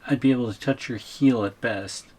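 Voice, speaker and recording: A man's voice: mid-range voice, moderate pace, darkish tone, lightly acted. A man acting a tiny, shrunken captive boyfriend in an ASMR audio roleplay, reluctant and wary, with a hesitant, wry way of protesting. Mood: worried.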